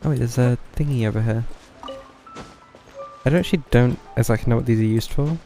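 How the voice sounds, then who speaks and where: A man's voice: mid-range voice, brisk, dark tone, soft background music. A man giving casual live gameplay commentary as a streamer, musing offhandedly to himself. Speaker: game streamer.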